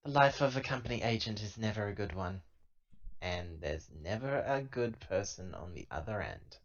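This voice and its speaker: A man's voice: on the low side, expressive, normal speed, voice pushed down. A man playing a weary, dutiful corporate agent in a tabletop sci-fi game, hesitant and resigned as he reasons things out. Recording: dull, lo-fi laptop recording.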